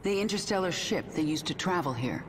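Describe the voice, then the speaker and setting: A woman playing a ruthless, haunted warrior queen of an alien swarm in a video game, with a grim, measured, commanding delivery. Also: fairly low pitch, animated, a little hiss or hum, close to an everyday voice. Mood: resolute. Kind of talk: dramatic video game cutscene dialogue.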